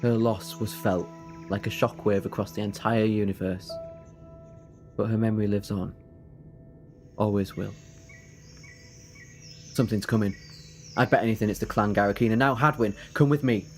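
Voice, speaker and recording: A man's voice: lowish voice, fairly even intonation, moderate pace, pauses often, faint music behind, natural voice. A man playing an ancient, flippant time-travelling hero in an audio drama, wry and given to urgency. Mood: wistful, then brisk, wry.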